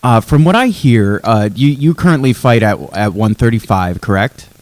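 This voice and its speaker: Mid-pitched man co-hosting a casual podcast. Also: animated, moderate pace, a little mellow, no echo. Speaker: podcast co-host, interviewer. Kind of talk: casual conversational interviewing.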